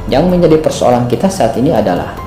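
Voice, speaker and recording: A man's voice: mid-range voice, big pitch swings, fast. A man reading a scripted, argumentative narration in formal, bookish wording. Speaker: video narrator. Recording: roomy echo, music behind.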